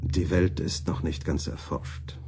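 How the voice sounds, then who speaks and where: An actor playing a cynical imperial adviser in a German radio play, lecturing in a matter-of-fact way. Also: male voice, low voice, fairly even intonation, a little slow, warm tone, soft background music. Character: smooth, cynical imperial adviser schooling young monarch.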